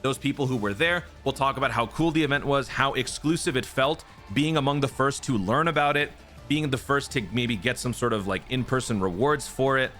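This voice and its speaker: A man's voice: mid-range voice, a little fast, fairly bright tone, soft background music. A man hosting a gaming channel, casually walking through teasers and speculating, often checking in with "right?". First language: not Japanese. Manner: chatty, explanatory, frequent "right?" tags. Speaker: YouTube gaming channel host.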